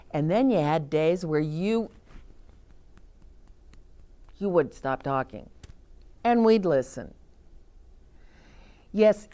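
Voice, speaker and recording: An older woman reading aloud to children and adding chatty asides, gently explaining things to her young listeners. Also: medium pitch.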